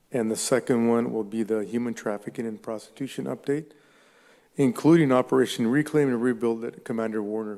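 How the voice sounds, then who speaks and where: A man from the police department giving a formal, measured report to a community advisory committee at a public meeting. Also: mid-range voice, warm tone, in a large hall. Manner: measured, reads through agenda items. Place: council chamber.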